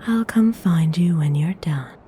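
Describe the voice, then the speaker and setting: A woman playing a courteous, commanding vampire noblewoman in an ASMR roleplay, speaking in a soft, mostly whispered voice with genteel, formal phrasing. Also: on the low side, a little hiss or hum, natural voice. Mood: hospitable, instructing. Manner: genteel, unhurried, formal wording.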